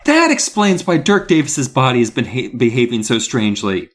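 A man's voice: medium pitch, big pitch swings, normal speed. A man voicing a flustered, apologetic woman who runs an odd business in a storybook. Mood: flustered apology.

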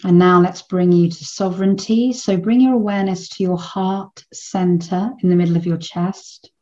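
A woman leading a guided meditation in a soothing, unhurried manner with long pauses between phrases. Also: on the low side, fairly even intonation, a little mellow, muffled recording.

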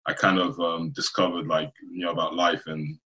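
A man from Nigeria chatting casually as an interview guest, often halting and rephrasing as he goes. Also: deep voice, little pitch movement, pushed and bright. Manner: halting, circling back to rephrase. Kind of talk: casual chat. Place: remote video call.

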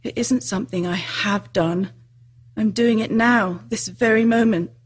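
Storybook male fawn, played by a woman: husky, mournful, tearful, wracked with guilt. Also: mid-range voice, a little slow. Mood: confessing guilt. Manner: sobbing, groaning, mournful. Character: tearful, guilt-ridden male fawn, self-reproaching and sorrowful. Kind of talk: storybook character reading.

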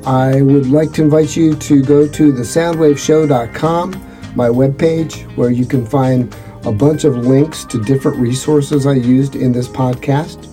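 A man hosting a podcast, reading a scripted explanation in an earnest, measured way. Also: mid-range voice, fairly even intonation, warm tone, faint music behind. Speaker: podcast host.

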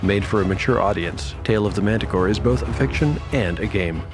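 A man's voice: on the low side, a little fast. A man narrating a scripted podcast promo as its host, in a dramatic manner. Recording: music bed behind the voice.

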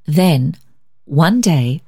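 A woman reading a story aloud as scripted narration, in an expressive, measured, dramatic way. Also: deep voice, husky. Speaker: story reader.

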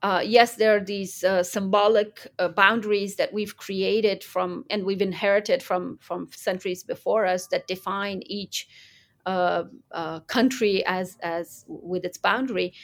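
A middle-aged woman from Afghanistan, answering an interviewer earnestly and reflectively, with many pauses and restarts. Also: medium pitch, steady tone. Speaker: interview guest.

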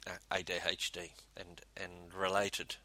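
A man's voice: sits low, completely flat delivery, a little slow, sharp tone. A man co-hosting a casual podcast, a native Spanish speaker, talking hesitantly with many ums and restarts. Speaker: podcast co-host.

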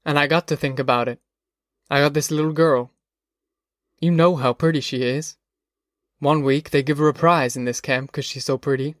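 A man's voice: fairly high pitch, steady tone, normal speed, long pauses, dry recording, close to an everyday voice. Man voicing a bitter country laborer, dry sound. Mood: bitter despair. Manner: folksy, bitter, ungrammatical. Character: despairing migrant laborer, worried father.

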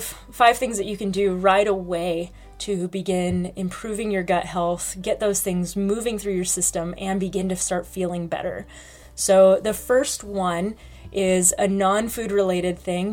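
Chatty woman hosting a health podcast. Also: medium pitch. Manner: chatty, frank, many fillers. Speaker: podcast host. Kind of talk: casual health podcast talk.

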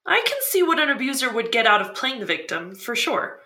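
An actress playing a curious, playful show host who asks quick questions. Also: female voice, on the high side, sing-song, bright, forceful tone, heavily acted. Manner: inquisitive, bubbly, quick questions. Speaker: podcast host. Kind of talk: scripted podcast drama dialogue.

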